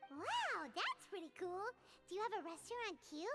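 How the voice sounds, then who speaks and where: A tiny, chirpy fairy sidekick from a video game. The voice is extremely high, squeaky and childlike, swinging up and down with curiosity, over prominent music. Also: female voice, huge pitch swings, moderate pace, full character voice. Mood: curious, chirpy. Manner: speaks of self in third person. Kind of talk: video game character acting.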